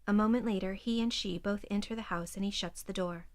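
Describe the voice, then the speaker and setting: A woman reading scripted present-tense narration for an audio drama in a measured, detached, descriptive way. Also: medium pitch, steady tone.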